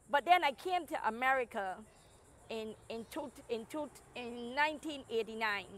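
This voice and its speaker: A woman's voice: fairly high pitch, a bit bright. A middle-aged woman from Barbados with a Barbadian accent, telling her life story earnestly as an interview guest in an outdoor garden on a lapel mic. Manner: earnest, emphatic storytelling with restarts.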